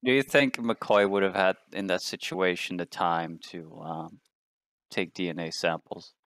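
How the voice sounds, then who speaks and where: A man with a very deep voice chats as a tabletop player. He is laconic and casual, swearing freely. The sound is close and dry. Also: fairly even intonation, measured pace, a bit bright, no room sound. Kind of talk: casual chat in a roleplaying game.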